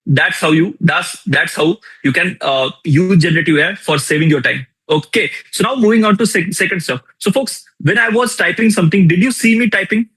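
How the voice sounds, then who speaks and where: A young man with an Indian accent running a live tools workshop, energetic and repetitive, firing questions at his audience. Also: high voice, a little fast, few pauses, slightly rough, poor audio. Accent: Indian English. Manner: energetic, repeats phrases, asks the audience questions. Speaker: workshop instructor. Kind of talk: live online tool demonstration.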